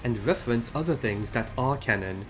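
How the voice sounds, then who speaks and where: A male host reads a scripted gaming video essay. He explains things in a measured way, in a mid-pitched voice, with faint music underneath. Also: expressive, low-quality recording. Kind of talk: scripted video essay narration on game lore.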